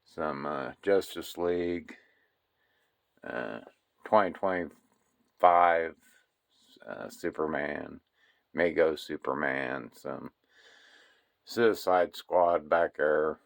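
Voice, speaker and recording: A man giving a casual, rambling tour of his toy collection room, filling pauses with lots of uh and um while filming on a handheld phone. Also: a bass voice, creaky. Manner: unhurried, rambling, many fillers. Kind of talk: casual walkthrough narration.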